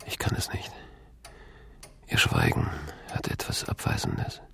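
An actor playing a troubled, brooding man haunted by memories in a radio drama, speaking in halting fragments. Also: pushed and bright, in a whisper. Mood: anguished, brooding. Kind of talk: radio drama acting, introspective monologue and dialogue.

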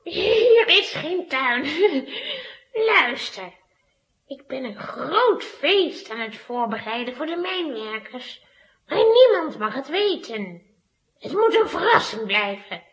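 High-pitched, slow, seductive witch. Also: very animated, fairly bright tone, exaggerated voice, low-quality recording. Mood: sly scheming.

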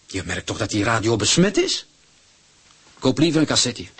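A man's voice: medium pitch, very animated, normal speed, a bit bright, husky, no room sound, heavily acted. A man acting in a radio drama as a stubborn, suspicious husband, grumbling and emphatic. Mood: irritated, stubborn. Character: stubborn, suspicious husband railing against propaganda.

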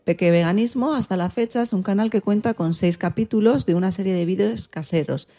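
A woman's voice: medium pitch, fairly quick, mellow. A woman presenting a magazine show, partly reading from a script.

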